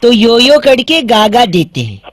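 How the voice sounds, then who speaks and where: A radio host playing Bauaa, a cheeky young prank caller who teases people with wordplay and puns. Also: male voice, very high voice, wildly swooping pitch, unhurried, fairly bright tone, pitched above the performer's own voice, exaggerated voice. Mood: teasing. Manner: teasing, playful, wordplay jokes.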